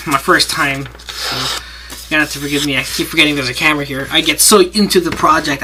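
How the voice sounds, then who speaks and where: A man walking through a paper craft step by step, rambling and breaking off his own sentences as he works. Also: a little high. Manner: rambling, talks while working, self-interrupting. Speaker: paper-craft tutorial maker. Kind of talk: casual hands-on tutorial. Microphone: camera mic. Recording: noisy home camera audio with clicks.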